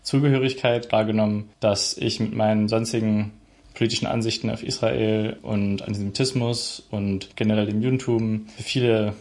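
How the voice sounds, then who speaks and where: A man in his twenties from Germany answers interview questions with a low voice. His tone stays flat and even, and he searches for words as he thinks aloud. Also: moderate pace. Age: 20s. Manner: thoughtful, searching speech. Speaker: interviewee, student.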